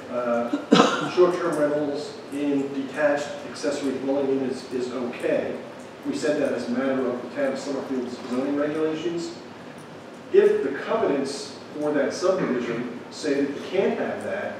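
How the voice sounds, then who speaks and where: A man speaks from far off in a heavily reverberant meeting hall, with clear noise around him. He explains procedure to a board in a measured, careful way. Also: mid-range voice. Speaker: town official advising a board. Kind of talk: explanatory talk at a public meeting. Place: large meeting room, distant camera. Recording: very echoey room, distant mic, noisy.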